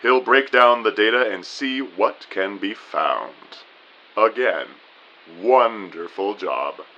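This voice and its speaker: A man playing a jovial, courteous agency boss with a booming voice and pompous, formal phrasing in an audio drama. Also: animated, slow pace, bright, forceful tone, muffled recording, heavily acted. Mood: jovial, hearty.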